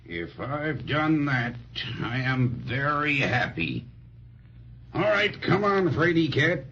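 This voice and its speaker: An actor playing a kindly, simple giant with a folksy, polite manner in a 1930s children's radio serial. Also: male voice, fairly high pitch, slow, a bit bright. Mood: warm farewell. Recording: muffled, noisy 1930s radio recording.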